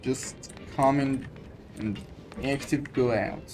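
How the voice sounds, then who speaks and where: A man giving casual gameplay commentary as a streamer, talking in a halting, repetitive mutter. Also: medium pitch, fairly even intonation, darkish tone. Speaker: game streamer. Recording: game music behind the voice.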